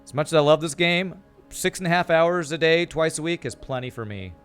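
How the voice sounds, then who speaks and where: A man's voice: a little high, a little fast, clean tone. A young man from California with an American accent, chatting casually with his viewers while he plays a game and reads their comments aloud. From California, USA. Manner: relaxed, chatty, reads chat aloud and answers. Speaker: streamer. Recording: faint music behind.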